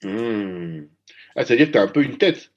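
A man interviewing a guest in a calm, prompting manner. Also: fairly low pitch, normal speed, muffled recording. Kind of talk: casual interview.

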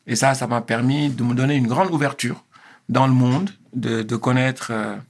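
A man in his fifties from Senegal, a guest on a talk show. His voice has a gravelly, fry-tinged edge. He answers at a measured pace, pausing and restarting often as he gathers his thoughts. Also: mid-range voice, fairly even intonation. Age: 50s. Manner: hesitant, many fillers and restarts. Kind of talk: interview answers.

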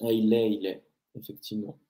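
Male host, relaxed dark tone, hesitant casual delivery, roomy echo. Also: fairly low pitch, brisk. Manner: hesitant, many fillers. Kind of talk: casual live-stream chat.

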